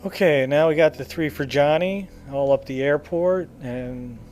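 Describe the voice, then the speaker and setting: A man's voice: on the high side, measured pace, a little mellow, soft background music. A man giving casual gameplay commentary as he guides viewers through a video game.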